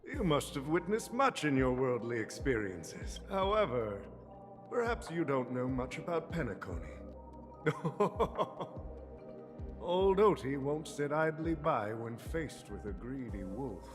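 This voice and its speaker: Scheming old magnate for a game: grandiose, unhurried, very animated delivery, long reverb with music. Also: male voice, mid-range voice. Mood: probing, pointed. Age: older. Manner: theatrical, chuckling, verbose businesslike courtesy. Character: wily, jovial old male tycoon, grandiose and scheming. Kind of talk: video game story dialogue acting.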